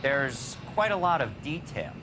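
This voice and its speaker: Frank police colleague, lively intonation. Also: male voice, mid-range voice, unhurried, noisy background. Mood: matter-of-fact, helpful. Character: adult male police forensic colleague, helpful and frank. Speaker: actor in a video game. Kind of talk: cinematic game dialogue.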